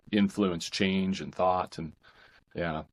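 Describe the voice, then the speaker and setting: Low-voiced man in casual conversation. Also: fairly even intonation, slow pace. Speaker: podcast guest, fiction author.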